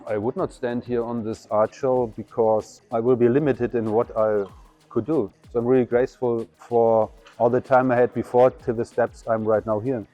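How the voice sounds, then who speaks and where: A man in his fifties, a graffiti artist, giving reflective, halting interview answers at an art show. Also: medium pitch, level tone, highs cut off, faint music behind. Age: 50s. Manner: reflective, halting, non-native phrasing.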